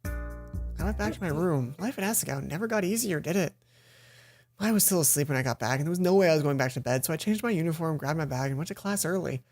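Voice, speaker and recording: A woman's voice: low voice, animated, quick pace, a little mellow. Woman reading a visual novel aloud, music behind. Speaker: let's play host. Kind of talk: reading a visual novel aloud with character voices and side comments. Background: game music.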